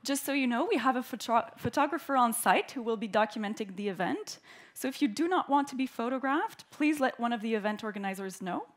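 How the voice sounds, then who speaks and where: A woman from Canada stands at a stage microphone to open a conference. Her voice sits fairly high, and she reads her prepared welcome remarks in a clear, orderly way. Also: animated, a little fast. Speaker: event host, opening speaker. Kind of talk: formal welcoming speech. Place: conference hall.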